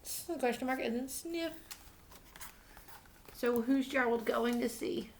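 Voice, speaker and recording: A woman's voice: on the high side, unhurried, a little hiss or hum. A woman reading a picture book to young children, asking simple questions and answering them herself. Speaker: children's read-aloud storyteller.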